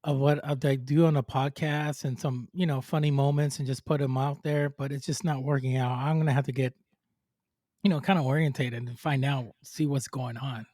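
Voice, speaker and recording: A man's voice: mid-range voice, steady tone, moderate pace, a little mellow, no room sound, studio quality. A man hosting a casual podcast interview, hyped and playfully exaggerating as he repeats himself. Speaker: podcast host.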